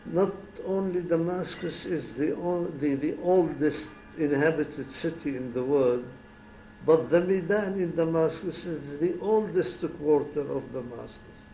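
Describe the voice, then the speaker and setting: A man in his seventies from Syria, a former ambassador giving an oral history interview at home, talking slowly and reflectively with pauses and restarts. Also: a little high, echoey room, highs cut off, a little hiss or hum. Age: 70s.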